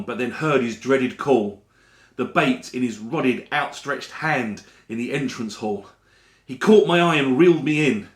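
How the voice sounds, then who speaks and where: A man performing a rhyming dramatic monologue in a theatrical, deliberate storytelling manner. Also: medium pitch. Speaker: actor reading a monologue. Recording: roomy echo around the voice.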